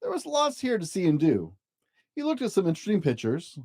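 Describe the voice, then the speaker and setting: Children's picture-book narrator: mellow, gentle tone with lively, swooping intonation. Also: mid-range voice, a little fast. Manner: animated, gentle, addressing young children. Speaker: storyteller reading a children's book aloud.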